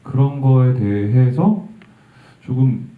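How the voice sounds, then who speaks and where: A male actor answering an audience question, thoughtful and halting, with soft laughs. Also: medium pitch. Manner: reflective, halting, laughs softly. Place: event hall. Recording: tinny, distant sound in a roomy hall.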